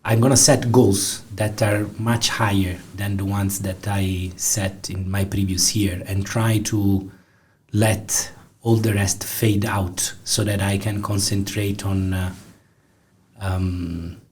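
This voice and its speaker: A man's voice: low-pitched, level tone, takes it slowly, a little mellow, slight background noise. A man in his forties from southern Italy with a Neapolitan Italian accent, a film director speaking as a studio guest with many fillers and restarts. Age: 40s. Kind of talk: interview guest.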